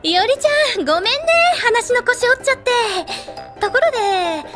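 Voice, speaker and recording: A woman's voice: very high-pitched, lively intonation, moderate pace, music in the background. A woman playing a breezy, playful, chatty big-sister type idol in an anime audio drama.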